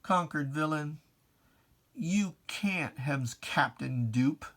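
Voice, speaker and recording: Very slow dramatic reader, medium pitch, theatrical, taunting, deliberate, pointed pauses, religious verse. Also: lively intonation, a bit bright. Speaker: poetry reader.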